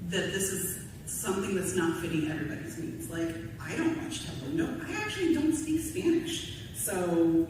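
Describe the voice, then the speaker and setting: A woman speaks candidly as a panelist in a big echoey hall, some way from the mic. Clear noise sits behind her informal, halting delivery, which is full of asides. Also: on the low side, far from the mic. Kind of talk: panel discussion, candid personal commentary. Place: convention panel room.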